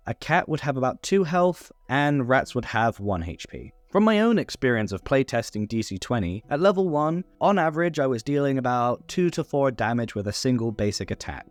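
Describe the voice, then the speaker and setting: A man's voice: medium pitch, no room sound. A man narrating a scripted tutorial on tabletop game rules, recorded as a close voice-over. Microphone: close voice-over microphone.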